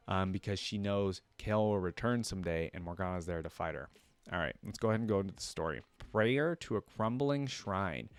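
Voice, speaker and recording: A man's voice: fairly low pitch, smooth, no echo. A man hosting a reaction, switching between reading a story aloud and informal commentary where he thinks aloud. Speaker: reaction video host.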